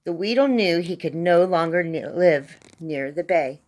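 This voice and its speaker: A woman's voice: fairly low pitch, animated, a little slow, darkish tone, a little hiss or hum. Woman reading a children's story expressively. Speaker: storybook reader. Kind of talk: expressive read-aloud children's story narration.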